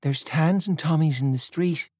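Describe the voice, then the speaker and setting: A man's voice: on the high side, moderate pace, darkish tone, dry recording, studio quality, voice pushed up, natural voice. A man reading an audiobook voicing a worried young man with an English accent. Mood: wary.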